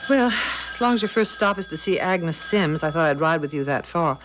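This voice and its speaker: A suspicious older small-town wife, played by an actress in a 1970s radio drama. She speaks with a tart, nagging folksiness, and her pitch moves up and down freely. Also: expressive, moderate pace, noisy background, close to an everyday voice.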